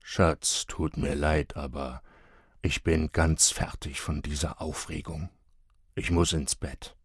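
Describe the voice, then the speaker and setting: A man reading a storybook, voicing a gruff father who grumbles and growls. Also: very deep voice, lively intonation, takes it slowly, dry recording, studio quality, pitched below the performer's own voice, heavily acted. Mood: grumpy.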